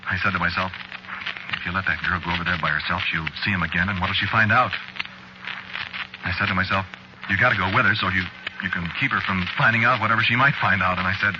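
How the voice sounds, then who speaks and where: An actor playing a haunted, guilty killer who confides his fearful tale in halting, repetitive first-person narration, in an old-time radio suspense drama. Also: deep voice, steady tone, natural voice. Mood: guarded, uneasy. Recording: muffled, very noisy old radio recording.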